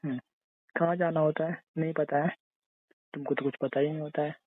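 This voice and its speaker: A man's voice: fairly high pitch, flat delivery, brisk, dry recording, lightly acted. A man playing a teasing young husband in intimate casual banter, coaxing and insisting playfully. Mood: playfully insistent. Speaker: husband in a couple's call. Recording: tinny narrow phone-call sound.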